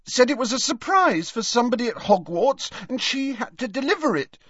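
Distraught teenage schoolgirl, played by a male narrator: very high, tearful, halting, audiobook drama. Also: very animated, a bit bright, slightly rough, dry recording, dull audio, exaggerated voice. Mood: sobbing, distraught.

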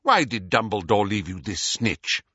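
A man voicing a stern, suspicious older government minister in an audiobook, with measured, insistent questioning. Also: on the low side, big pitch swings, sharp tone, slightly rough, no room sound, highs cut off, exaggerated voice. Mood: probing, suspicious. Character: stern, probing older male government minister interrogating youngsters. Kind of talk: audiobook character voice.

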